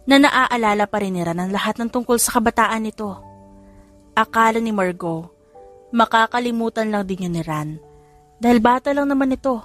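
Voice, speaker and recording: A woman reading scripted story narration as an audiobook narrator. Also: mid-range voice. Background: faint music.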